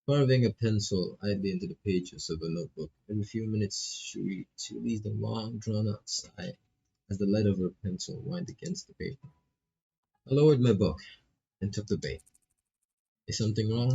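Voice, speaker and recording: A man's voice: fairly low pitch, moderate pace, gravelly, dark tone, slightly rough, muffled recording. A man doing laid-back, casual live commentary on a visual novel, reading text aloud and swearing freely as he reacts.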